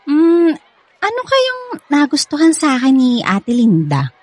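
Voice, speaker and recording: A woman's voice: sits high, huge pitch swings, unhurried, a little mellow, exaggerated voice. An actress playing a sweet, teasing young girlfriend with a Filipino accent in a radio drama, in a playful manner. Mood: curious.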